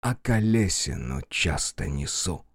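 A man voicing a theatrical actor-playwright, flattering and hot-tempered, who declaims grandly in a stage play reading. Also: sits low, unhurried, husky, no echo, studio quality, voice pushed down, exaggerated voice. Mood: declaiming verse. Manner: grandly declaiming, slight stammer at first.

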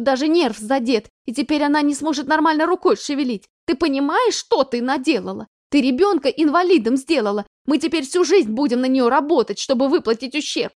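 A woman voicing an anxious middle-aged mother who scolds her daughter in a shrill, rising voice. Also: dark tone, no room sound, studio quality, natural voice.

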